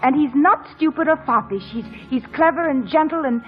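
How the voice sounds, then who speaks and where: A woman plays a passionate young gentlewoman, haughty and willful, in a high voice. She speaks with the intense, theatrical delivery of mid-century radio drama. The old recording is dull, with prominent music. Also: brisk, lightly acted. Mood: haughty, scornful.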